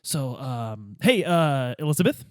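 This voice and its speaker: A man's voice: mid-range voice, very animated, measured pace, dry recording, studio quality. A man improvising as a detective in a tabletop game, casual, hesitant and slangy. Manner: hesitant, slangy, laid-back. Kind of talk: casual improvised role-play.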